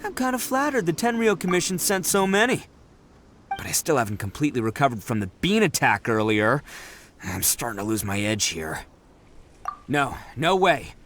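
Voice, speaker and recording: A man's voice: mid-range voice, fairly bright tone, slight background noise. An actor playing a brash, boastful young male oni brawler with a boisterous, cocky delivery in a video game. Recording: game audio with music.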